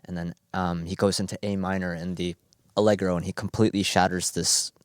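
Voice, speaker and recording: A man's voice: deep voice, level tone, a little fast, clean tone, no echo, studio quality. A man in his twenties from New Jersey, a cellist and music student, speaking earnestly in a radio interview with many uhs and ums. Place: radio performance studio.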